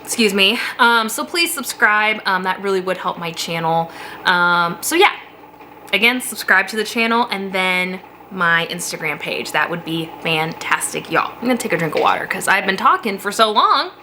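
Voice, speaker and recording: Bright-voiced chatty woman vlogger. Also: medium pitch, expressive, moderate pace, slight background noise. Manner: chatty, rambling, laughs often. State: slightly sniffly from a cold. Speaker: beauty vlogger. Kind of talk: casual chat to camera. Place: home basement office.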